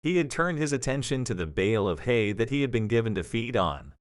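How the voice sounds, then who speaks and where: Expressive male story narrator. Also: mid-range voice, a little fast, dry recording, studio quality. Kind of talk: scripted story narration for an audio drama.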